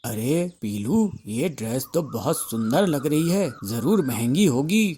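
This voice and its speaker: A man voicing chatty male bird townsfolk in a cartoon, expressive and colloquial. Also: mid-range voice, measured pace, husky. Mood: friendly.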